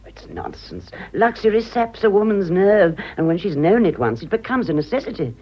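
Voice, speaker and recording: An older woman in a radio drama, worldly and rueful. Her voice is husky and breathy as she pleads with dramatic, halting feeling. The recording is dull and muffled. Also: medium pitch, sing-song. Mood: bitter, earnest. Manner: emotional, hesitant, dramatic.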